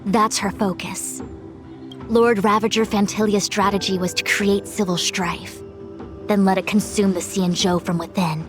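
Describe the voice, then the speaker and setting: A woman's voice: mid-range voice, steady tone, measured pace, natural voice. An actress playing an exacting, commanding noblewoman in a fantasy game, with formal, authoritative delivery. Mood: grave, urgent. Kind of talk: dramatic scripted game dialogue. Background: background music.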